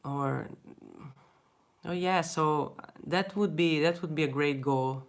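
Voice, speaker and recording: A young woman with a Hungarian accent, speaking English as a second language, chatting casually with viewers in a livestream Q&A, hesitant, with many restarts and soft laughs. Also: low-pitched, slow. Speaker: artist answering viewer questions.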